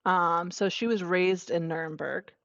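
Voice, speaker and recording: A woman's voice: lowish voice, fairly even intonation, a little slow, creaky, fairly bright tone, no echo. A young woman from Virginia, co-hosting a podcast in casual chat, talking in a chatty, enthusiastic way with many fillers. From Virginia, United States. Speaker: podcast co-host. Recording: dull lo-fi sound.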